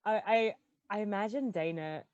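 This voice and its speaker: A woman's voice: medium pitch, normal speed, highs cut off, crowd noise. A woman giving casual commentary as a podcast cast member in a group chat.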